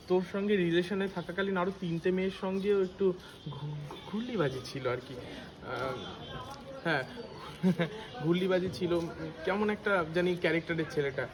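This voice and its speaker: A young man acting an awkward, gossiping friend in an amateur short film, speaking haltingly and evasively. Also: on the high side, natural voice. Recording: noisy amateur recording with ambient background noise.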